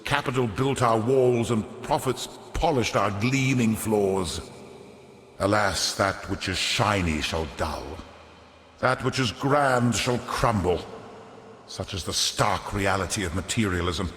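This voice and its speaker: An actor playing a wily, vain, centuries-old merchant lord in a video game, pompous and theatrical, with ornate aristocratic diction. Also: male voice, fairly low pitch, slow, a bit bright. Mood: wistful, grandiose. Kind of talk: scripted video game character monologue. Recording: echoey hall with long reverb.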